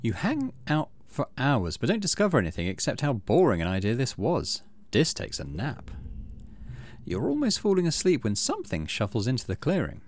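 A man hosting a gaming channel, low-key, casually commenting on play and reading story text aloud. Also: huge pitch swings, normal speed, slight background noise.

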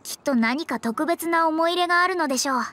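High-voiced, proud young astrologer. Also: female voice, fast talker, sharp tone, a little hiss or hum. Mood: composed. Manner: formal, earnest, lecturing. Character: proud young female astrologer, stern and formal. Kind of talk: anime game voice acting.

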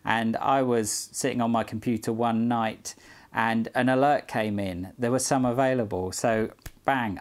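A British man in his forties talking casually to camera as he shows a product in a room at home. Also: medium pitch. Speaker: skateboard collector vlogger. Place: small indoor room at home.

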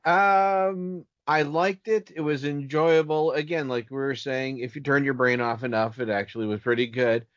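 Male podcast panelist, mid pitch, casual reviewer, slightly lo-fi sound. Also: steady tone, normal speed, few pauses, no echo.

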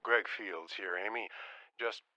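An actor playing a friendly, encouraging church elder on a telephone in an audio drama, folksy and upbeat. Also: male voice, lowish voice, unhurried, very noisy.

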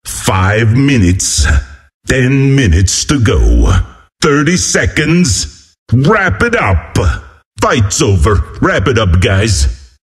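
A man's voice: medium pitch, exaggerated voice. An actor playing a burly, boisterous walrus-man warrior as a video game announcer, with hearty, declamatory delivery. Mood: playful, delighted.

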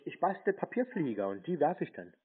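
A laconic man on a prank phone conversation, playing dumb and answering deadpan. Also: on the high side, a little fast, husky, phone-quality sound. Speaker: prankster answering scam calls.

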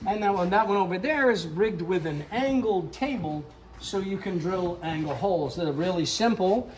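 A man's voice: sits high, expressive, moderate pace. Chatty older man touring a wood shop. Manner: chatty, explanatory, joking. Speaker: woodworking school owner. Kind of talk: casual shop tour talk. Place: large woodworking shop, indoors. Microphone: camera held by interviewer.

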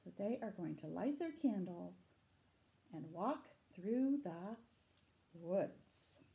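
A middle-aged woman reading a picture book aloud to children, dramatic and deliberate, adding asides and questions for her young listeners. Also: on the low side, animated, vocal fry, warm tone. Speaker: library storytime reader. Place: small room indoors. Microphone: camera a short distance away.

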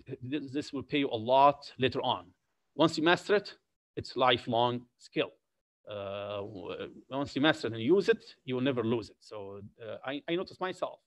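A middle-aged male physician with an Arabic accent and a slight French tinge, lecturing medical students over slides in a deliberate way, with many uh fillers and repeated points. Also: mid-range voice, a bit bright, no room sound. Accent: Syrian Arabic with a slight French tinge. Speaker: physician giving a career talk.

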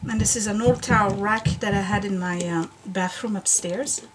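Casual home vlogger, woman, medium pitch, chatty and unscripted, faint hiss on the camera mic. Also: moderate pace. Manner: chatty, filler-heavy, thinking aloud while working. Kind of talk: casual show-and-tell narration. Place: basement room at home, indoors.

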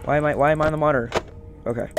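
A man's voice: a little high, fairly even intonation, rapid-fire. A young man giving casual let's-play commentary, muttering and repeating his phrases. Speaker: gaming video host. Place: small room indoors. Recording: loud game audio over voice. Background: loud game music.

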